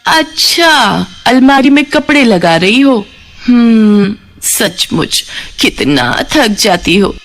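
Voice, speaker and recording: A woman's voice: on the high side, expressive, slow. A woman voicing a sly older aunt in a cartoon family drama, insinuating and falsely sympathetic as she gossips. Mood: sly, coaxing.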